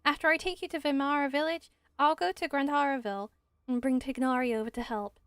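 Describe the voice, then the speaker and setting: Woman playing an earnest high-voiced young forest ranger. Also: steady tone, fairly quick, fairly bright tone, no echo. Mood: cautious, worried.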